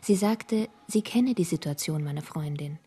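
An actress playing a quiet female narrator in a radio play, recounting events in a measured way. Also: low-pitched, animated, husky. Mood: calm, recounting.

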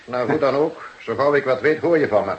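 A man's voice: medium pitch, expressive, fairly quick, muffled recording, natural voice. A man playing a wry, proud police fingerprint expert in a Dutch radio drama, with a drily playful way of talking. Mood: smug, playful.

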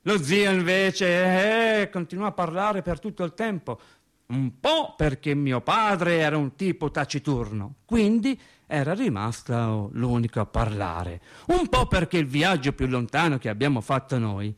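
An actor playing a working-class man telling wry, naive childhood memories in a theatrical radio monologue, with dramatic pauses. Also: on the high side. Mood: wry reminiscing. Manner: animated storyteller, dramatic pauses and emphatic swings. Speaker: stage actor.

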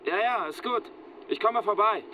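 High-voiced harried husband on phone. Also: male voice, lively intonation, moderate pace. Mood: casual, placating. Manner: defensive, insistent. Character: harried, proud husband working for the mafia. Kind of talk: German radio crime drama acting. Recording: noisy wiretapped phone call.

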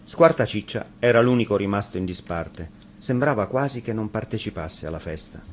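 A man with a fairly low voice tells a children's fairy tale from a script. He works as the storyteller, and faint noise sits behind the recording. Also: expressive, normal speed.

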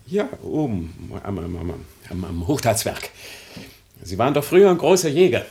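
An actor playing a polite visitor and old family friend in a radio play, formal and questioning. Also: male voice, on the low side, very animated, normal speed, gravelly, dark tone, a little hiss or hum. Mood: courteous.

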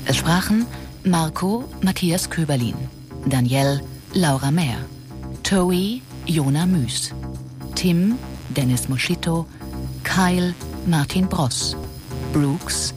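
A woman's voice: lowish voice, takes it slowly, long pauses. A woman reading formal scripted credits as an announcer. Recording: studio announcement over music.

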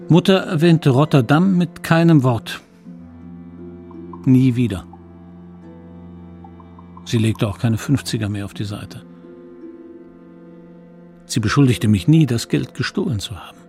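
Actor as gravelly middle-aged man, regretful narrator. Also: mid-range voice, measured pace, long pauses, mellow, faint music behind, natural voice. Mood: pained. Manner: reflective, confiding. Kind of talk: dramatic first-person radio play narration.

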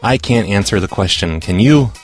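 A man's voice: on the low side, animated, normal speed, loud music behind the voice. A man hosting a prank call show, chatting casually in an offhand, sarcastic way. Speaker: podcast host. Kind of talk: casual chat.

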